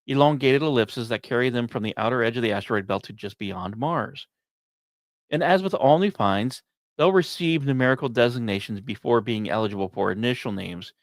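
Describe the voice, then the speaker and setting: Male podcast host, medium pitch, scripted science storytelling, dramatic pauses, the odd casual aside, very dry recording. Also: no echo.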